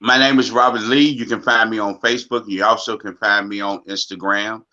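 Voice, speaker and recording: A man's voice: fairly low pitch, steady tone, a little fast, pushed and bright. Laid-back man, dull lo-fi sound. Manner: laid-back, plain-spoken. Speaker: panel guest.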